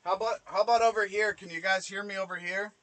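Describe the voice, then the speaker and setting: A man's voice: high-pitched, steady tone, quick pace, fairly bright tone, rough recording. A man in his thirties hosting a rowdy, goofy cooking livestream in a small kitchen, exuberant and given to whooping. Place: small camper kitchen, indoors.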